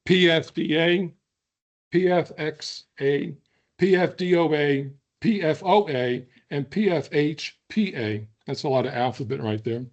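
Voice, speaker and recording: A hoarse man who is losing his voice, giving an earnest public comment at a hearing with deliberate pauses and throat clearing. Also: a little high.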